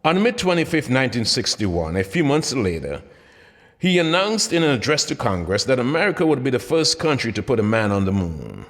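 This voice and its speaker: A man's voice: mid-range voice, huge pitch swings, fairly quick. A man reading his own motivational book aloud as scripted narration, with dramatic, emphatic delivery. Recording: long hall-like reverb.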